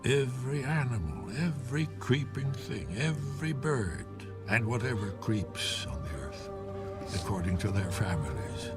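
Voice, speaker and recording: A man narrating scripted scripture in a measured, dramatic storytelling style, in a produced recording with music underneath. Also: medium pitch, animated.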